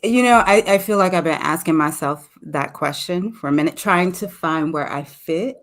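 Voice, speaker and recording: A woman with a slightly low voice speaks as a poet being interviewed. She is casual and reflective. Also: moderate pace, fairly bright tone. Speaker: poet and cast reader, interviewed.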